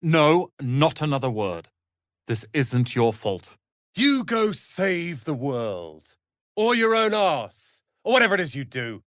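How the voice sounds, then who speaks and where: A man's voice: on the high side, sing-song, takes it slowly, a bit bright, dry recording, clean studio sound, exaggerated voice. A man voicing a haughty, sardonic young wizard's apprentice in a video game, with a pompous, theatrical delivery. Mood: subdued sorrow, then scornful. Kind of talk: dramatic video game voice acting.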